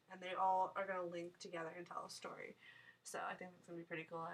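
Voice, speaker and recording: A woman's voice: on the low side, pushed and bright, low-quality recording. A young woman with a nasal voice from a sinus cold, chatting casually while answering viewer questions on a live stream, rambling and laughing often. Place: home, small town.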